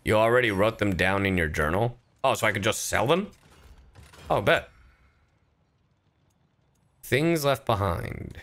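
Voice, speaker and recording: A man's voice: fairly low pitch, lively intonation, measured pace, long pauses, a bit bright. A man doing casual, profane, jokey livestream commentary while he plays a game, sometimes reading aloud theatrically. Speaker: streamer, gamer.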